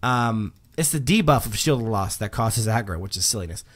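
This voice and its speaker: A man's voice: lowish voice, lively intonation, brisk, fairly bright tone. Chatty man rambling through patch notes. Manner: chatty, rambling, many um fillers and restarts. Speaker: livestream host. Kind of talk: casual livestream chat, reading patch notes.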